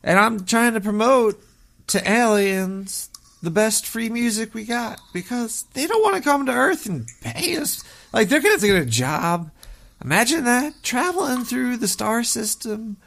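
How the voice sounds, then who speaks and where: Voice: sing-song, unhurried, creaky, a bit bright, full character voice. A performer voicing a paranoid cartoon rat who hosts a radio show. The rat has a stuffy nose and rambles and stammers. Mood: rambling. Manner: rambling, stammering, swings wildly in pitch. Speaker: host taking calls and playing music. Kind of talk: comedic radio show chatter.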